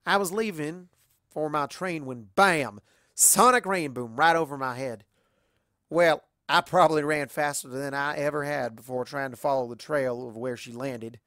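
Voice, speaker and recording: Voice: medium pitch, moderate pace, fairly bright tone, no room sound, studio quality, close to an everyday voice. A performer voicing a proud, hardworking female country pony in a storybook, with a folksy, plainspoken manner. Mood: excited recounting.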